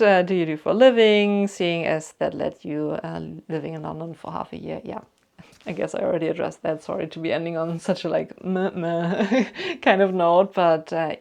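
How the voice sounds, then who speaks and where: A young woman from Germany speaks English with a clear German accent. Her voice sits low, with plenty of gravelly vocal fry. She answers viewer questions in a relaxed, meandering way, full of "like" and "um". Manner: chatty and rambling, with many "like" and "um" fillers. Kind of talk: casual Q&A chat to camera.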